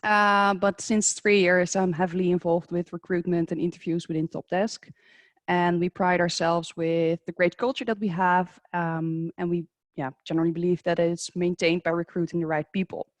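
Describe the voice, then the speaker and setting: A woman with a Dutch accent presents at an online conference, speaking in a measured, slightly hesitant way and pausing often on fillers. Her voice sits a little low. The sound is dry and close, as on a remote call. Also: level tone, no room sound. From Netherlands. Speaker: presenter at a professional webinar. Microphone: remote video call.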